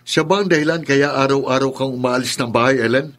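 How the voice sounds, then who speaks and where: A man's voice: mid-range voice, brisk, fairly bright tone, close to an everyday voice. A man playing a firm, protective young adult son and brother in a radio drama, insistent and questioning, with a Filipino accent. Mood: indignant, confronting. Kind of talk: Tagalog radio drama acting.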